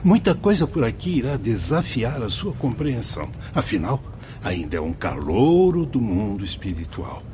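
An actor playing a genial priest who directs a spirit-world watchtower in a radio drama, courteous and explanatory, with a Brazilian accent. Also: male voice, medium pitch, very animated, moderate pace, noisy background, natural voice. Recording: music behind the voice.

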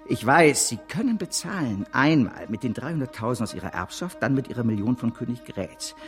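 A man's voice: mid-range voice, big pitch swings, fairly quick, faint music behind, natural voice. An actor playing a grandiose, scheming bank director in a German radio drama, bombastic and impatient as he persuades. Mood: cajoling, persuasive.

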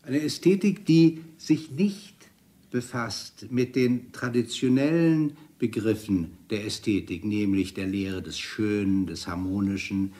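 A man explaining his ideas as an author in an interview, deliberate and searching, piling up phrases. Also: fairly low pitch, dark tone. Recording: roomy archive interview recording.

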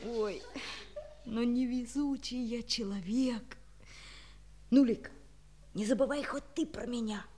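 A woman playing a hapless, boastful schoolboy in a children's audio play, excitable, muttering and exclaiming. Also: fairly high pitch, takes it slowly, pauses often. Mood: nervous pleading.

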